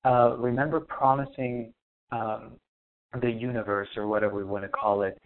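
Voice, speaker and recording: A man from Lebanon, a spiritual teacher guest, answering in a soft, reflective, hesitant way. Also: medium pitch, fairly even intonation, moderate pace. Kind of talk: interview answers. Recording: thin phone-like line.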